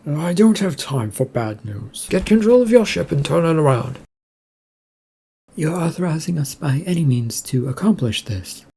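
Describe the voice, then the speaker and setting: A man's voice: on the high side, expressive, moderate pace, vocal fry, mellow, slightly rough, voice pushed up, full character voice. A man voicing a troubled, insistent male shipping company boss in a story, with an urgent, grumbling manner. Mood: urgent, commanding.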